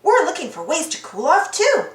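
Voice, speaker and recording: A woman reading a children's storybook aloud in an animated, exaggerated, sing-song way for young children. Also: very high-pitched, moderate pace. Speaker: storybook reader. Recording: roomy echo.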